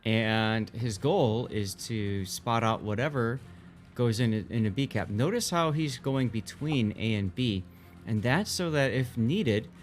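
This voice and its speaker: A man commentating live on a video game tournament in a casual, explanatory way. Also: mid-range voice. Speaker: esports commentator. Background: music.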